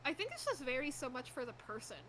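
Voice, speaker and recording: A woman's voice: sits high, huge pitch swings, fast. A young woman chatting casually with friends on a stream, rambling with lots of "like" and frequent laughs. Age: young adult. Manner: rambling, many "like"s, laughs often. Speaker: streamer host. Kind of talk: casual group chat. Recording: noisy stream audio.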